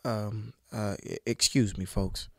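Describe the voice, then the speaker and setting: An actor playing a nervous, modest city lawyer made sheriff, stammering politely in a comic western audio drama. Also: male voice, lowish voice, steady tone, takes it slowly, vocal fry, noisy background.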